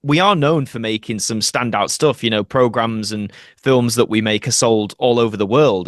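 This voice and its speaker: A British man speaks as a podcast guest in a casual, explanatory style. His mid-pitched voice is recorded dry, with very little room sound, and his delivery is earnest and conversational. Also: dry recording. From United Kingdom.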